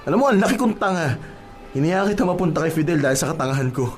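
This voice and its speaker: A man's voice: fairly high pitch, lively intonation, rapid-fire, faint music behind, natural voice. A man playing a remorseful, lovesick young suitor in a radio drama, earnest, hesitant and pleading. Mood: earnest, regretful.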